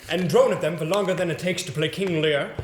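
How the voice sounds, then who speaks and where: A man plays an exasperated, pompous man of the theatre in a stage play. His fairly high voice is emphatic and sarcastic, every phrase theatrically placed. It rings in a roomy space with faint noise behind. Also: fairly quick, natural voice. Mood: dry, reproving. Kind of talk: amateur stage play acting.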